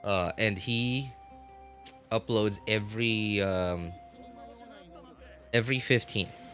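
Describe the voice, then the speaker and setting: A man streaming a game live, chatting casually and haltingly as he thinks aloud. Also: lowish voice, bright, forceful tone. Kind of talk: casual rambling livestream chat. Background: music.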